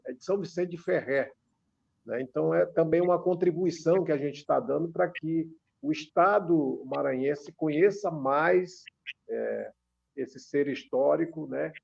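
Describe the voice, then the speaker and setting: A Brazilian man in his fifties, a guest on a radio interview, explaining at a measured pace with many filler pauses. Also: on the high side, creaky, darkish tone, breathy edge. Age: 50s. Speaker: author and religious researcher guest. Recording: dull lo-fi radio sound.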